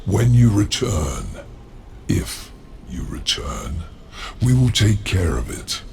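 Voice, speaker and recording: A cunning, brooding demon lord, delivers ominous game dialogue slowly. His lowish voice crackles with gravel, every formal phrase patient and imperious. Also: big pitch swings, heavily acted. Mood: ominous, knowing. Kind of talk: video game cutscene acting.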